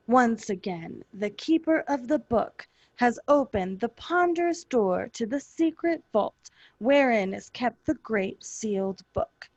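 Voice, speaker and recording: A woman playing a solemn, ominous narrator in the style of 1940s radio drama, slow and theatrical. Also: a little high, darkish tone, no room sound, dull audio, heavily acted.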